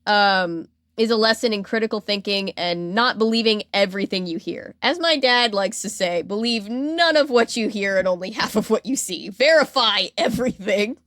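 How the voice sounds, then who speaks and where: A woman's voice: medium pitch, normal speed, hardly any pauses, a bit bright, dry recording, studio quality. A woman hosting a podcast, telling a story in a casual, chatty, informal way and acting out imagined reactions.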